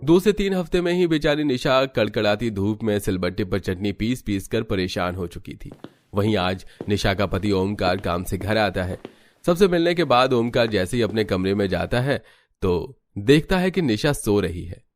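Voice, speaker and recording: A man reading scripted narration for a Hindi animated story as its narrator. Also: fairly low pitch, moderate pace, slight background noise.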